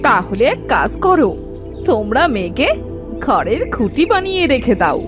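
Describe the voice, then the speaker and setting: A woman's voice: fairly high pitch, big pitch swings, a little slow, music in the background. Woman acting a taunting, nosy village neighbour. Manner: taunting, meddling. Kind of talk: Bengali cartoon voice acting.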